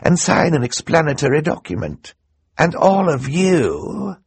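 A man's voice: on the high side, very animated, measured pace, gravelly, slightly rough, no echo, voice pushed up, full character voice. A man voicing a scheming, theatrical male villain who gloats and mocks, in an audiobook. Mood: gloating, grand. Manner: gloating, grand and mocking.

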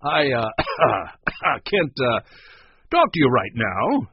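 A man's voice: on the high side, wildly swooping pitch, a little slow, breathy edge, no echo, lo-fi sound, pitched above the performer's own voice, exaggerated voice. Man acting out a flustered, stammering storybook man. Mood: nervous, evasive. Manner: hesitant, stammering, mumbling. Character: flustered, evasive man hiding something.

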